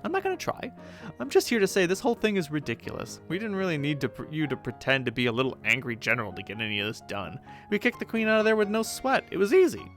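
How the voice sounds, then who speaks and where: A sly teenage girl troll, cocky and gleeful, her voice pitched high and swinging from taunts to cheering. A man acts her out, with a bright edge on his voice and faint music behind. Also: sing-song, fast talker. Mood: mocking. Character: sly cocky teen female alien troll.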